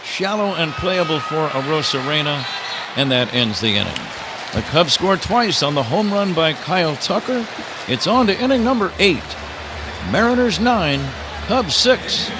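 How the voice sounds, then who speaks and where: A man's voice: a little high, expressive, a little slow, crowd noise. An American man calling a baseball game live as a radio play-by-play announcer. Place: broadcast booth at a ballpark. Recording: noisy live broadcast with outdoor ballpark ambience. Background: clear outdoor stadium noise.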